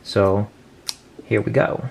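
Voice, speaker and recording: Young man, unhurried pace, casual unboxing commentary, informal and sweary, noisy room with clicks. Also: lowish voice, fairly even intonation, gravelly. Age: young adult. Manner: informal, crude-joking, swearing. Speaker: host of an unboxing video.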